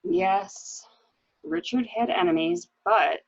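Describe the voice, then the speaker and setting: Woman acting an anxious widow, husky, creaky, animated. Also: medium pitch, slow, no echo, highs cut off. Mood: tense, hesitant. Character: anxious, hesitant new widow recounting her husband's misdeeds. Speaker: library staff reader. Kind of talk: dramatic reading of a murder mystery.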